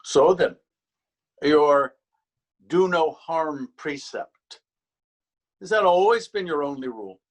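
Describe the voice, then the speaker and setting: A man's voice: on the high side, animated, slow, long pauses. An actor in a readers theater drama playing a stern, weary policeman, admonishing and authoritative. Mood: lecturing. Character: stern, weary Irish male beat policeman, bullying. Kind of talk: readers theater stage acting.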